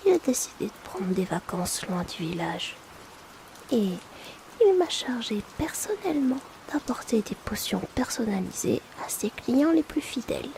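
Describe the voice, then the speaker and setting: Woman acting a soft, crackly-voiced potion courier. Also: on the high side, sing-song, measured pace, vocal fry, full character voice. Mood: discreet, concerned. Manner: hesitant, polite, confiding. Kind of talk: whispered ASMR roleplay.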